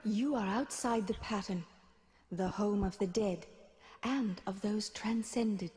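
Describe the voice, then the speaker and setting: A woman voicing a swan who was once a noblewoman and is a loving, exiled mother in a video game, speaking in solemn, measured, formal phrases. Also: medium pitch, dark tone, husky. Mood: solemn welcome. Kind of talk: scripted video game voice acting.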